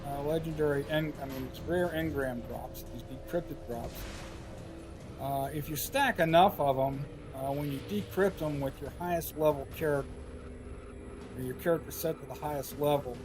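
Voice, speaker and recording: A man giving casual commentary over a game walkthrough, his voice a little hoarse and scratchy, thinking aloud with frequent filler pauses. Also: on the high side. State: slightly hoarse, scratchy throat. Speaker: gameplay commentator. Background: game music and gunfire.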